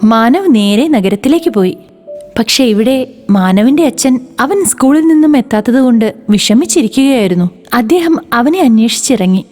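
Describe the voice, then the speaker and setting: Woman, fairly high pitch, lively intonation, scripted kids' story narration, light music behind. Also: normal speed.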